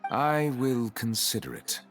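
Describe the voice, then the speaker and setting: A man's voice: medium pitch, huge pitch swings, over loud music. A man voicing a formal, courteous and reserved chief judge in a video game. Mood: courteous, composed. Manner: formal, measured, stately wording.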